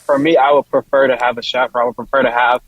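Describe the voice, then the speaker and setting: A young man with a husky, rough-edged voice chats casually as a panel guest. The recording is dry and a little dull. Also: medium pitch, level tone, very fast, no room sound. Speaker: roundtable guest. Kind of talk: casual chat. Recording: slightly dull, lo-fi, dry sound.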